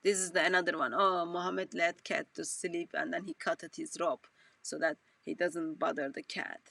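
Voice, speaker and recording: Bright, dry ironic woman. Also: on the low side, fairly even intonation, gravelly, no echo, distant mic. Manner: dry, ironic and slow-paced. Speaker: co-host of an online show. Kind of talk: sarcastic online discussion.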